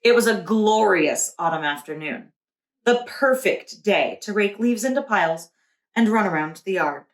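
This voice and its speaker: A woman teacher reading a picture book aloud to young children with deliberate expression. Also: mid-range voice, animated. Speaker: preschool teacher. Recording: roomy echo.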